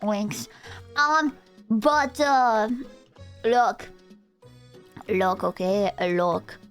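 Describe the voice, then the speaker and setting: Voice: medium pitch, animated, extremely slow, fairly bright tone, clear, resonant tone, faint music behind. A young adult VTuber streamer with a Romanian accent, chatting with viewers in a goofy, rambling, playful way. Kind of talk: casual live-stream chat.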